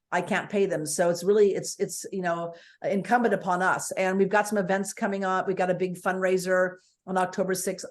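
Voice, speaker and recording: A woman with a booming voice, a guest speaking forthrightly and with energy in an interview over a video call. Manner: forthright, energetic, piles on points.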